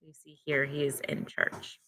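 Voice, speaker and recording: A woman reading a picture book aloud to children like a teacher, pausing to explain things in simple words. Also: sits low, completely flat delivery, very noisy. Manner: simple, child-directed explaining with short phrases. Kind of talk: read-aloud storytelling with simple explanatory asides.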